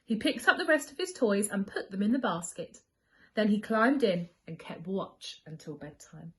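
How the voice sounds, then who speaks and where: A woman's voice: medium pitch, animated, moderate pace, a bit bright. A woman reading a children's story expressively as a school storyteller, leaning on key words for young listeners.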